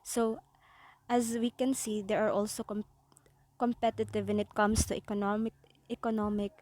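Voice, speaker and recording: Young Filipino-accented woman, student presenter, mellow tone, halting delivery. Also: mid-range voice, flat delivery. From Philippines. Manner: halting, with frequent restarts and fillers. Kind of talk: class report.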